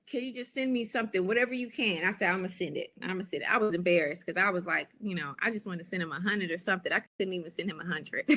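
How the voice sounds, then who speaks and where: An American woman, a business coach, giving a lively, preachy training talk and speaking straight to her audience. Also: medium pitch. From United States.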